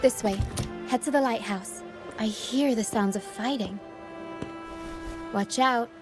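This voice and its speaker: A composed young seafaring first mate for a video game. Her voice sits a little high and stays measured, matter-of-fact and dryly teasing. Music plays clearly behind her. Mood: guarded, serious. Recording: background music under the voice.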